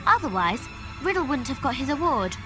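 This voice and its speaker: A woman's voice: sits high, very animated, a little fast, music in the background. A woman playing an earnest, bookish young schoolgirl witch in a video game. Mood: thoughtful. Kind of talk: video game cutscene voice acting.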